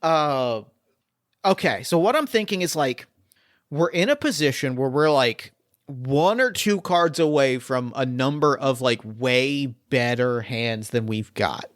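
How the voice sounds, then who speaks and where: A man's voice: mid-range voice, lively intonation, unhurried, dry recording, clean studio sound. A man running a tabletop murder mystery game as game master, talking casually and rambling with many fillers and restarts.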